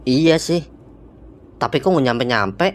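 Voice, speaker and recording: Casting: male actor as whiny, impatient young cartoon pal, blunt Jakarta slang, average-pitched voice. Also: animated, measured pace, close to an everyday voice. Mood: grumbling. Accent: Jakarta Indonesian. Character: grumbling, impatient young man, wary travel buddy. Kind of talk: colloquial cartoon dialogue.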